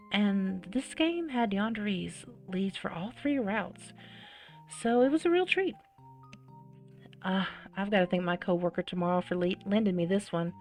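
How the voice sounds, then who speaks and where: Woman gamer, mid-pitched, animated story read-aloud with casual reactions, light music underneath. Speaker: gamer playing and reading a visual novel aloud.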